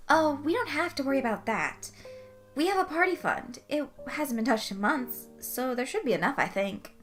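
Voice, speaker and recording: A woman's voice: high voice, big pitch swings, normal speed, soft background music, voice pushed up. A woman voicing a practical, questioning female human resources rep in an audiobook, matter-of-fact in manner. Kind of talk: audiobook character voice.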